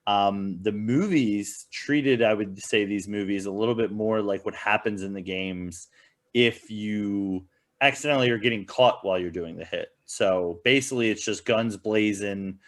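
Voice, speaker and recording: A man's voice: fairly low pitch, normal speed. A man giving casual opinions as a podcast panelist, in a rambling, hedging way. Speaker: podcast panelist reviewing films.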